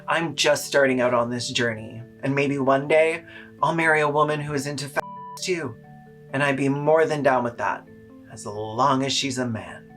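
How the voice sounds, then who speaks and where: A video essayist playing an absurdly devout, self-pitying straight man in a deadpan, mock-earnest comic parody. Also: mid-range voice, moderate pace, soft background music, close to an everyday voice. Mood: mock weary.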